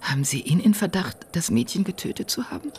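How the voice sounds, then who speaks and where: An actress playing a subdued, wistful sister in a radio drama, husky, hesitant and deliberate. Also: female voice, on the low side, expressive, a little mellow, a little hiss or hum, close to an everyday voice. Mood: wistful, guarded.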